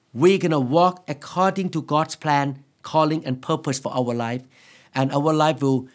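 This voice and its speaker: Higher-pitched Thai-accented pastor, a man preaching. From Thailand. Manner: earnest, emphatic, deliberate phrasing. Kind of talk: sermon to camera. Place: quiet indoor studio set. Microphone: lapel microphone.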